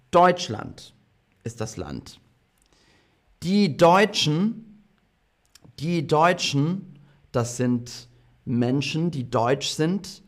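A man teaching a slow classroom language lesson to a group of students, repeating each word and phrase clearly for learners. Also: medium pitch. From half German, half British. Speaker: German teacher.

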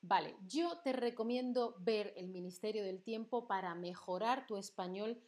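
A woman's voice: mid-range voice, moderate pace, darkish tone. A young woman from southern Spain who uses a neutral standard accent and teaches Spanish on a live stream, talking to viewers and reading out their chat messages. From Andalusia, Spain. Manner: chatty, asks viewers questions and reads out chat. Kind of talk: live-stream language lesson.

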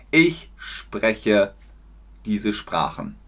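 A man teaching German in a live online lesson, encouraging and clearly articulated. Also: lowish voice, sing-song, drawn-out words, fairly bright tone.

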